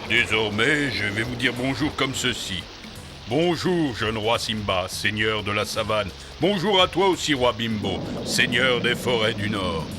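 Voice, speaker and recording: A man's voice: mid-range voice, lively intonation, measured pace, music in the background, natural voice. A man playing a kindly old king of the trees in a French cartoon dub, speaking in a grandfatherly, ceremonious manner. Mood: kindly, solemn. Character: kindly old tree king, solemn and slow.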